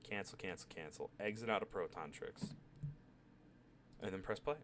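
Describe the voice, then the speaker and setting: A man giving a casual step-by-step software tutorial, sick with a cough. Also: deep voice, normal speed, a little hiss or hum. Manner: relaxed, chatty, step-by-step instructions. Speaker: tutorial maker. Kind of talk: casual screen-recorded tech tutorial.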